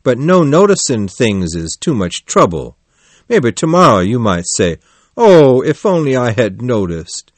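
A man's voice: medium pitch, sing-song, measured pace, dry recording. Storybook toad, acted out by a man: male, faint-voiced, martyr-like, drawing out his woes in a close, dry sound. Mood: plaintive, feigning illness. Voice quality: weak, faint. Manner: plaintive, martyr-like, drawn out. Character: self-pitying, melodramatic male toad feigning sickness. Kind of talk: animal storybook character voice.

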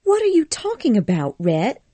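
A headstrong young Southern belle, fretful and pleading in a raised, lilting voice, as a woman reading a storybook puts her on. Her pitch swings widely. The recording is dry and close. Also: sing-song, slow, darkish tone, dry recording, heavily acted.

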